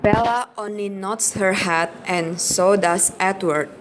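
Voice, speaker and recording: A woman's voice: medium pitch, slow, slight background noise. A woman narrating an amateur radio play from a script.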